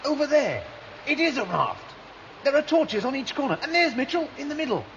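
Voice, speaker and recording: An actor playing an eager, wary citizen turned rebel guide, urgent and excitable, in a 1970s sci-fi radio drama. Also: male voice, very high voice, fairly quick, gravelly, full character voice. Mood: excited, incredulous. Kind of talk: 1970s science fiction radio drama acting. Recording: dull vintage radio recording, stream noise.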